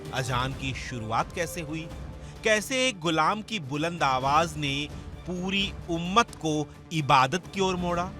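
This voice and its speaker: A man delivering scripted, dramatic news documentary narration in a solemn, emphatic storytelling manner. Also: on the high side. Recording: music under the voice. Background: background music.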